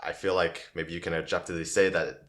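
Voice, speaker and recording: A man with a very low voice answers as a podcast guest. He speaks haltingly and pauses often on "uh" and "like" as he looks for words. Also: flat delivery, fairly bright tone. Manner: hesitant, many "uh" and "like" fillers. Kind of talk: casual podcast conversation.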